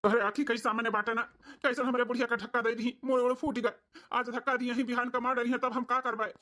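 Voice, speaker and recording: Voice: medium pitch, level tone, fast, pushed and bright, natural voice. A performer voicing an aggrieved village husband in an Awadhi cartoon comedy, complaining in rhetorical questions with an Awadhi accent. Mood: exasperated. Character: aggrieved village husband, scolding his wife to her father.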